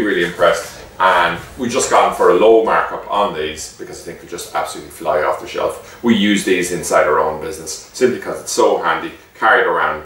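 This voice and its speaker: A man's voice: deep voice, a bit bright. A man giving a casual, chatty product demonstration, explaining step by step like a shopkeeper and often checking in with the listener. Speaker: shop owner presenting a product. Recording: echoey room, distant microphone.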